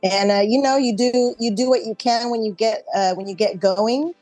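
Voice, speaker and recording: A woman's voice: a little high, moderate pace, a little mellow, dry recording, soft background music, poor audio. A middle-aged woman actor chatting casually in an interview, speaking in a reflective, conversational way.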